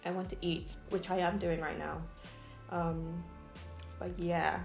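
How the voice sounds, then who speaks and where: A woman's voice: on the low side, little pitch movement, takes it slowly, gravelly, a little mellow, reverberant, faint music behind. A young woman from Nigeria chatting casually while she eats, with plenty of ums. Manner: chatty, eating between lines, many ums. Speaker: food vlogger. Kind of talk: casual mukbang chat.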